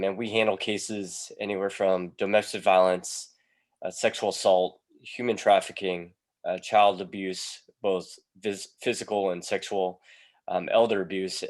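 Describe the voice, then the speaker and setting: A man, a police sergeant giving a presentation over a video call, sounding congested from a cold and pausing often with ums. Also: sits low. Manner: hesitant, many ums between phrases.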